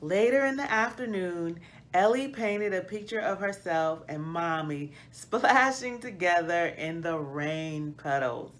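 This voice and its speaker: A middle-aged woman hosting a children's read-aloud, reading storybook style with deliberate, expressive phrasing. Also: on the low side. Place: small plain indoor room.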